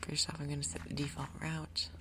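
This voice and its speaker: A woman gaming host giving soft, whispery ASMR commentary, casually thinking aloud in an unhurried way. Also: deep voice, flat delivery, background noise. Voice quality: soft, whispery, breathy undertone. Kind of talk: soft-spoken ASMR Minecraft gameplay commentary.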